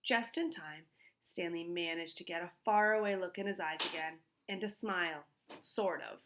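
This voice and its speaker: A woman reading a children's book aloud in an expressive storytelling style. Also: on the low side, background noise. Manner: pauses to put questions to young listeners. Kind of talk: expressive read-aloud narration.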